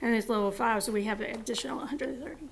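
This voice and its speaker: Woman at a school board meeting, voice going, kinda high, lots of ums. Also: steady tone, racing, creaky, slight background noise. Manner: informal, with fillers and explanatory asides. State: losing her voice. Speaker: school district staff presenting to the board.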